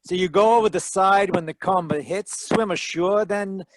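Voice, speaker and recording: A man's voice: sits high, normal speed, no room sound, lightly acted. A man playing a wry, tough private detective in a 1940s radio style, dryly wisecracking, recorded over a video call. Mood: dry, probing. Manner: wisecracking, dry. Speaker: actor narrating and playing lead detective. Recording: live video call performance.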